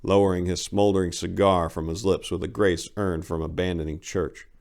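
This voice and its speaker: A man's voice: low voice, steady tone, moderate pace, creaky, a little mellow. A man giving a theatrical, emphatic dramatic reading of a short story as narrator.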